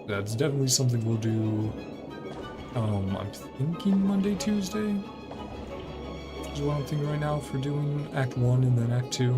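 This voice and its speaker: A man giving casual, rambling gameplay commentary as a streamer, thinking aloud as he plays, with a creaky voice. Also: mid-range voice, lively intonation, moderate pace. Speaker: video game streamer. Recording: game music behind the voice. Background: video game music.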